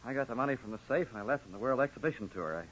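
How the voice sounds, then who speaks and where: A man's voice: medium pitch, racing, a bit bright, close to an everyday voice. A man playing an earnest, plain-spoken young prizefighter in colloquial 1940s radio drama style. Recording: muffled, noisy 1940s radio recording.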